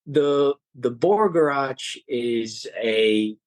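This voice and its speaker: A young man talks as an interview guest, earnest and a bit halting, often restarting his sentences. His voice sits at an ordinary middle pitch. The sound is dry with no room echo. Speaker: guest, home cook and hiker. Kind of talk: casual interview chat.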